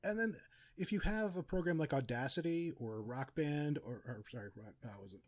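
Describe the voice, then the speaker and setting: A man's voice: fairly high pitch, expressive, fairly quick. Chatty man giving casual interview advice. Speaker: podcast guest, audio drama writer and producer.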